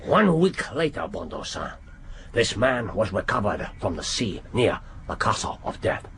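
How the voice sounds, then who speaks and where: An actor playing a commanding, wry older Japanese intelligence chief in a radio drama, with a Japanese accent and formal, deliberate phrasing. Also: male voice, fairly low pitch, very animated. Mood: grave. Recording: noisy radio drama recording.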